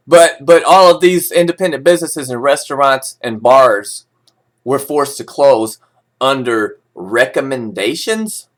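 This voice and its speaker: Man, mid-pitched voice, informal news host, sarcastic, opinionated, folksy asides. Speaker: host of a forex news reading.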